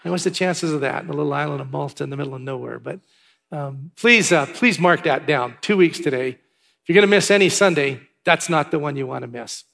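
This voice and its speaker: A pastor from Canada giving church announcements and a sermon in a chatty way, with asides and filler words. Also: male voice, on the high side, brisk, gravelly, a bit bright. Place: large church hall. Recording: long hall reverb.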